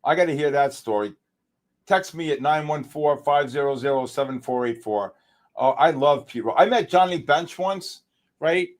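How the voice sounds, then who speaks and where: A man's voice: on the high side, moderate pace. A middle-aged man hosting a live trading stream, joking and hyping up his viewers. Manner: excitable, joking, hypes viewers. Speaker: livestream host.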